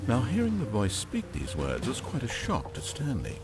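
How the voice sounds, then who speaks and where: An actor playing a composed, wry, omniscient narrator in a video game, with a British accent and deliberate, articulate, theatrical delivery. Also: male voice, mid-range voice, wildly swooping pitch, loud music behind the voice. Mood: measured.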